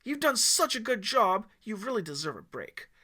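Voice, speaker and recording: A man voicing an eager, flattering teenage boy scout with a squeaky, cracking voice and an earnest, wheedling way of talking. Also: moderate pace, exaggerated voice.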